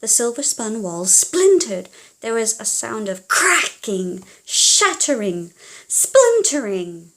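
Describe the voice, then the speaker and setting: Female children's storyteller, unhurried and expressive, dramatic delivery with hushed moments. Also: fairly high pitch. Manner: animated, dramatic, with hushed passages.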